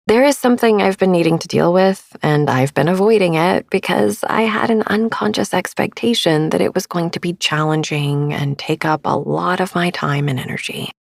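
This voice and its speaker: A woman speaks in a hushed, slightly low voice with a soft edge of creak. She guides a sleep meditation as a podcast host, gentle and soothing throughout. The sound is close and dry. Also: no echo.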